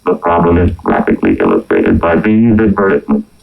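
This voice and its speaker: A man's voice: sits low, very animated, a little fast, vocal fry, phone-quality sound, soft background music. A man reading scripted, moralizing narration, turned into a robotic synthesizer voice by a vocoder. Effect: robotic vocoder with synthesizer tones. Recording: band-limited old recording through vocoder.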